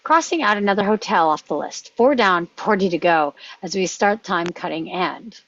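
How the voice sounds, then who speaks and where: A woman's voice: fairly low pitch, animated, normal speed, fairly bright tone, highs cut off. A woman reading screenplay stage directions aloud in clipped fragments. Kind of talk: script read-aloud.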